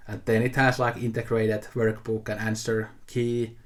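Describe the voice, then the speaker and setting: A man's voice: lowish voice, little pitch movement, normal speed. A man of twenty-eight, talking casually to camera about things he got, in an easygoing, rambling way. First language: Finnish. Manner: easygoing, rambling, with frequent fillers and restarts. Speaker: video host showing a haul. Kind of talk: casual unscripted talk to camera.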